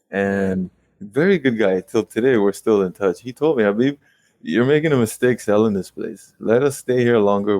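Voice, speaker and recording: Guy in his late twenties chatting casually, lowish voice, dry sound, tells stories quick and rueful. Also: no echo. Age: 20s. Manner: animated storytelling, quick rueful asides. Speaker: guest real estate investor. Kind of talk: casual conversational interview.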